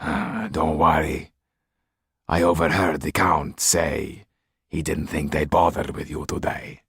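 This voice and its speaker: A man voicing a rough, mean male groom with a grumbling, earthy manner. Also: a bass voice, little pitch movement, takes it slowly, fairly bright tone, no room sound, clean studio sound, voice pushed down, full character voice. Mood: offhand.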